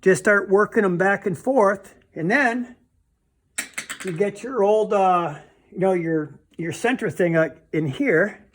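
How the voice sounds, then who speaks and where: A man's voice: sits high, slow. Casting: male DIY demonstrator, informal and plainspoken, talking through a hands-on mechanical job as he works. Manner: plainspoken, informal, thinking aloud while handling parts. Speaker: DIY car repair demonstrator. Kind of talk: casual hands-on repair demonstration.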